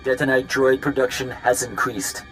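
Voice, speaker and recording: An actor playing a cold, calculating machine intelligence in a video game, speaking in clipped, formal, emotionless phrases. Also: male voice, medium pitch, fairly even intonation, moderate pace, fairly bright tone, soft background music, close to an everyday voice, poor audio. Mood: cold, detached.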